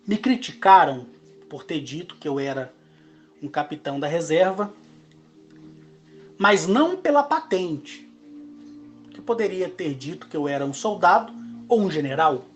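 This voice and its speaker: A Brazilian man in his forties, speaking in a slow, emphatic monologue broken into short phrases. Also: fairly high pitch. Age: 40s. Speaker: channel host. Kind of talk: monologue to camera.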